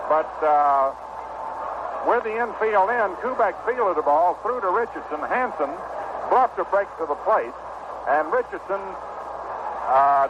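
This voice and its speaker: An American man calling baseball play-by-play on 1960s radio in a measured, descriptive way with pauses. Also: sits high. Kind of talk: live 1960 radio sports commentary. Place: ballpark broadcast booth. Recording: noisy muffled vintage 1960 radio broadcast.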